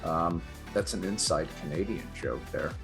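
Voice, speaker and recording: A man's voice: deep voice, moderate pace, over music. A middle-aged man co-hosting a sports show with light-hearted banter and dry, self-deprecating jokes.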